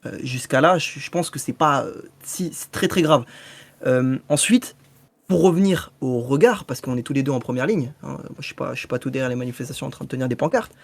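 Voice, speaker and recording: A man's voice: mid-range voice, fairly quick, vocal fry, no room sound. A man speaking as a protester in a debate, earnest and argumentative as he presses his point.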